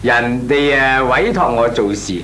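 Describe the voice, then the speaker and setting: A man's voice: medium pitch, expressive, unhurried, a bit bright, soft background music. An actor playing a talkative, cautious small businessman in a Cantonese comic radio drama, hemming and chuckling as he talks. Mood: bashful, wary. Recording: clearly noisy, humming radio recording.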